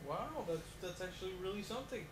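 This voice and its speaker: A man hosts a casual mock talk show, his voice sitting a little high. He rambles and pads every thought with fillers. The recording sounds roomy and echoey, with a loud hiss of noise underneath. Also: lively intonation, quick pace.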